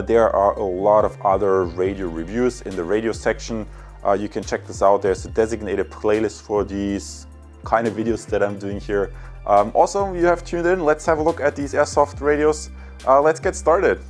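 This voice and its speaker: A man in his thirties with a German accent, giving a casual, halting product review and unboxing to viewers. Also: lowish voice. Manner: hesitant, halting, addresses viewers as guys.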